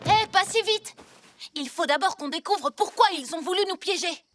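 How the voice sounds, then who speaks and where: Brisk high-pitched child hero. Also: female voice, expressive, fairly bright tone. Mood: determined. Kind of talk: French cartoon dubbing. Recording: music underneath.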